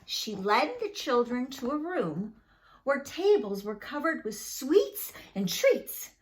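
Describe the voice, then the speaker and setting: A woman's voice: high voice, very animated, takes it slowly, rough recording. A woman narrating a children's storybook expressively, with dramatic, careful delivery for young listeners.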